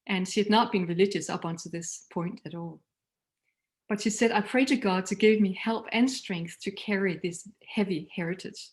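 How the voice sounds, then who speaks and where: A woman from Denmark with a Danish accent, a mission leader giving a prepared talk in an earnest, measured way that trails off softly at phrase ends. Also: medium pitch.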